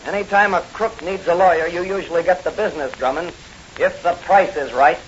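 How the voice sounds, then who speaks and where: An actor playing a tough, brisk policeman hero in clipped, wisecracking 1940s radio style. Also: sits high, lightly acted. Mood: dry, needling. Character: tough, brisk male policeman and masked crime-fighting hero. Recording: muffled, hissy old radio recording.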